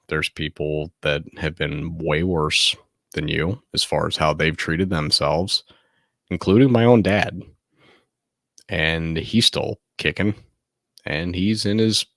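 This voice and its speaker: A man in his thirties hosting a casual late-night solo podcast from home, speaking softly and close to the microphone in a laid-back, candid way. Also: low voice, no echo. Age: 30s. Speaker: podcast host.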